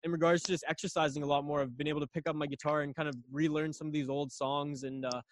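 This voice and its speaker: A young man from Canada, a guest on a podcast, telling a long story casually with lots of fillers and restarts, his voice a little raspy. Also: on the high side, level tone, fast talker, noisy background. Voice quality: slightly raspy.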